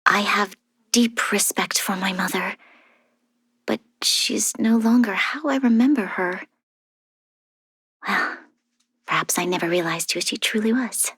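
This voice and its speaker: A young heiress and military commander from a video game, recorded close and dry. Her mid-pitched voice is composed and earnest, softly breathy at times. She speaks formally and thoughtfully, with a strong sense of duty. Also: unhurried, pauses often, dry recording. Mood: reflective, measured. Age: young adult. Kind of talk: scripted video game character voice lines.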